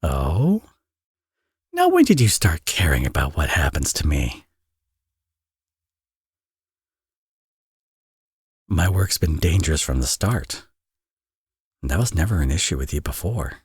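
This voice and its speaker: A man playing a teasing villain who is a tender father, in an intimate ASMR audio roleplay, with a husky, breathy, soft-spoken delivery. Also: a bass voice, huge pitch swings, normal speed, no room sound, clean studio sound, exaggerated voice. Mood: flirty, teasing.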